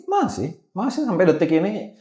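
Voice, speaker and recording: A man's voice: sits high, wildly swooping pitch, normal speed, warm tone. A man chatting casually as a podcast guest, often repeating words and phrases for emphasis. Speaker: podcast guest, gemstone trader. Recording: roomy, echoey podcast recording.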